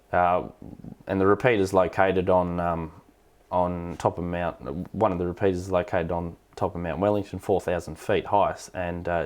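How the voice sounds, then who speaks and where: An Australian man hosting a casual technical question-and-answer stream, explaining things hesitantly as he thinks aloud. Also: low-pitched. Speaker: live stream host, amateur radio operator.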